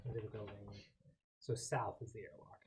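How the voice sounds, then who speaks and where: A man's voice: lowish voice, steady tone, a little fast, a little mellow, slight background noise. A man talking casually as a player in a tabletop roleplaying game.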